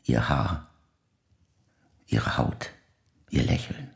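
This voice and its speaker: An actor playing a grieving older man who speaks reflectively and slowly in a measured, pensive audio drama monologue. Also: lively intonation, heavily acted. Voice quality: very deep, gravelly, breathy at phrase ends. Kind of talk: slow literary dramatic monologue.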